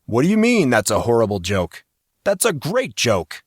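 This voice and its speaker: Guy doing a big shot older ceo in an audio drama, playful jokester, super animated, real dry sound. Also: mid-range voice, moderate pace, husky, dry recording. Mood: mock disbelief. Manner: theatrical, teasing, animated delivery. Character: powerful masked older male executive, jovial, playful, theatrical joker. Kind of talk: audio drama character acting.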